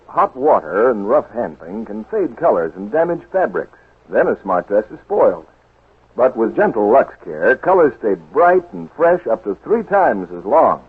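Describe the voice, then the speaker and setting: A male 1940s radio announcer delivering a sponsor pitch. His voice is very husky and lively in pitch, on a narrow, muffled old broadcast.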